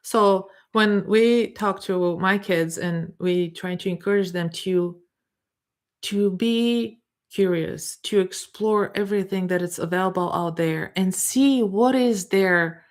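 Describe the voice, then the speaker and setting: A middle-aged woman with a Bulgarian accent, giving a live coaching talk in a halting, unscripted way, restarting and repeating words as she searches for them. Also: fairly low pitch.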